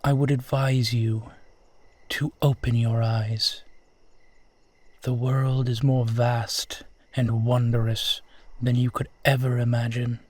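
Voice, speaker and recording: Man as a slow, suave ancient vampire. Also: medium pitch, little pitch movement, darkish tone, no room sound. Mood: amused, chiding. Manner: measured, theatrical, formal, condescending. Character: suave 450-year-old male vampire guiding a lost stranger, proud, amused. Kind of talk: slow dramatic roleplay audio monologue.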